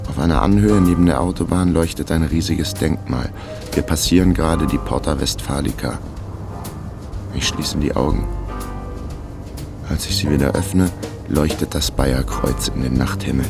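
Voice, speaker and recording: A man playing a laconic fixer who narrates his case in a terse, deadpan way in a radio crime drama. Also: a bass voice, moderate pace, vocal fry, pauses often, music in the background. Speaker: narrator and protagonist.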